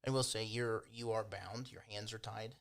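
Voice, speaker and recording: A man acting as game master, improvising tabletop game narration in an informal, hedging, unhurried way. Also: lowish voice, fairly even intonation, gravelly, fairly bright tone.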